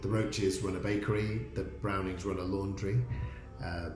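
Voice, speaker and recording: Male writer discussing his show: deep, level delivery, roomy recording, music underneath. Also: fairly even intonation, moderate pace. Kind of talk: informal explanation of own work. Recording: roomy sound, music behind.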